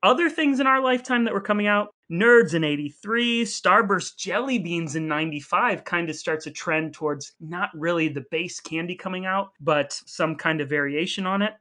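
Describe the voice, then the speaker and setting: A man's voice: high voice, normal speed, hardly any pauses, bright, forceful tone. A man in his forties co-hosting a podcast, talking casually in a wry, explanatory way. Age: 40s. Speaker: podcast co-host. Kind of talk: casual conversational podcast talk.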